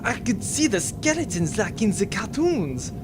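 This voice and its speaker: An actor playing a clever, dry ship's mechanic in an amateur sci-fi audio drama, talking wryly in technical terms. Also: male voice, high-pitched, sing-song, normal speed, noisy background. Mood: sheepish. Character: French ship's mechanic, clever, dry, self-effacing man.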